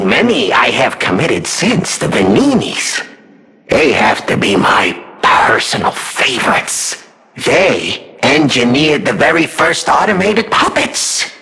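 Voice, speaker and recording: A sadistic puppet king of riddles from a video game. The voice is gravelly and sharp, lurching through huge swoops of pitch. The delivery is mocking and gleeful, relishing cruelty with grand theatrical flourish. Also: full character voice. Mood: gloating, nostalgic.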